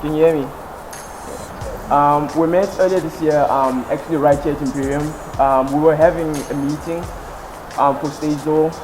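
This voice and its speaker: A man's voice: on the high side, fairly even intonation, moderate pace, poor audio. An eighteen-year-old man, a first-year law student, answering interview questions casually and hesitantly, with many ums and restarts. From South Africa (Eastern Cape). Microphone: headset. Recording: background music under voice.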